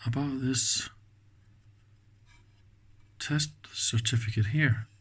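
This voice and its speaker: A man with a mid-pitched voice talks slowly through a hands-on task. His commentary is casual and unscripted. He muses as he goes, halting and leaving thoughts unfinished. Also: a little mellow, a little hiss or hum. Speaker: electronics teardown host. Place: indoors at a workbench.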